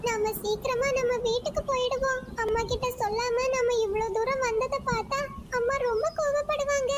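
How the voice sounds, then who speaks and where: A woman acts out a little sparrow child from a cartoon moral tale. The pitch is extremely high, lifted well above the narration. The delivery is sweet, childlike and earnest, over faint background noise. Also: fairly even intonation, brisk. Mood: worried, sympathetic.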